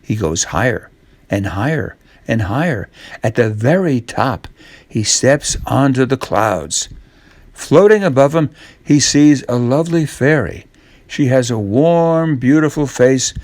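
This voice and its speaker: A man's voice: medium pitch, expressive, unhurried, darkish tone, dry recording. An older man narrating a children's story as a storyteller.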